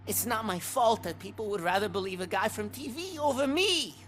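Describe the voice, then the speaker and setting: A woman's voice: medium pitch, animated, fairly quick, slight background noise, close to an everyday voice. A woman playing a defensive, cynical gas station keeper in a video game, with a sardonic way of talking. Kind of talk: video game cutscene acting.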